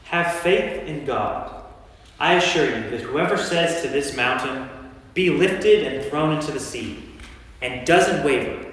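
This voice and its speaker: A man playing a commanding teacher and healer in a staged Bible reading, speaking with deliberate authority. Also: fairly high pitch, a bit bright. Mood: instructing. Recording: very echoey church hall.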